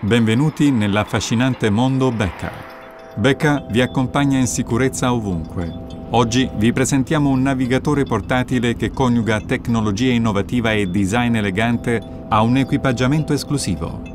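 A man's voice: mid-range voice, normal speed, noisy background. A man delivering a scripted promotional voice-over. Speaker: voice-over narrator. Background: music.

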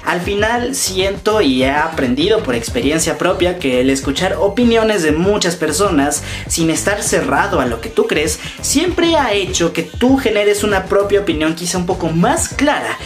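A man's voice: high-pitched, very animated, moderate pace, hardly any pauses. Young Mexican man vlogging over music. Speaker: YouTuber. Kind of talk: casual fan commentary, reading comments aloud. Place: small room indoors. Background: background music.

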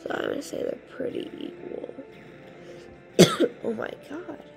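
Raspy, slow-talking woman with a gravelly voice. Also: high voice, huge pitch swings, soft background music. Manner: rambling, chatty, full of fillers. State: hoarse. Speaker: gaming channel host. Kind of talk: casual gameplay commentary.

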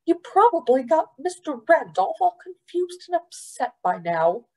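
A woman's voice: sits high, sing-song, a little slow, warm tone, voice pushed up. A woman reading aloud, voicing a bossy, snappish foster mother who scolds sharply. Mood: scolding.

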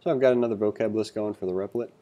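A man giving a read-along coding tutorial, reading aloud and thinking out loud with informal asides. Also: on the low side, very fast. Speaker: instructor.

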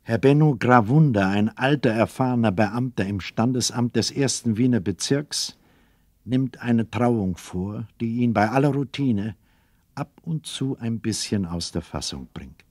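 A man narrating a children's radio play as a storyteller, measured, wry and expressive. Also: medium pitch, no room sound.

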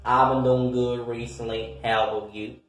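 A man's voice: mid-range voice, flat delivery, normal speed, natural voice. A man voicing a scolding, foul-mouthed old Southern grandmother in an improvised comedy skit. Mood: testy. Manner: blunt, scolding, calls everyone child. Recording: very echoey room.

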